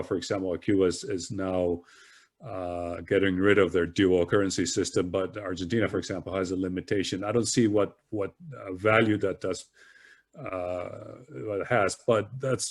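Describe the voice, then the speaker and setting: A man hosting an interview, speaking hesitantly with many fillers and trailing off softly. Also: low voice. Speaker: host. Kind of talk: conversational interview.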